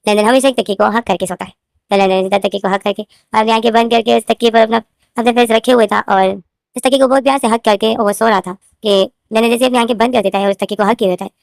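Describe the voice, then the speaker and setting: Fast-talking woman with a sore throat. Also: on the high side, fairly even intonation, vocal fry, no echo, clean studio sound. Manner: rambling, repeats names and phrases, addresses viewers as guys. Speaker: fanfiction author and narrator. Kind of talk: casual spoken retelling of her own story.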